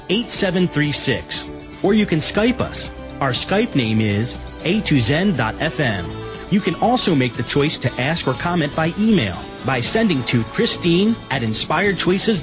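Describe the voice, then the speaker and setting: Guy doing the station promo, kinda high, really lively voice, music under it. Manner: smooth, measured announcer read. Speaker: show announcer. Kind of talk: scripted promo announcement. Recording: read over clear music.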